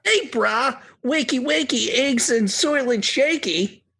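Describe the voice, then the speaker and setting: A woman plays a chilled-out, upbeat slacker buddy in a comic radio play. The voice is fairly high and gravelly, lilting through drawled surfer slang. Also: expressive, slow pace, noisy background, full character voice. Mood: cheerful, mellow. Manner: drawling slangy dude talk.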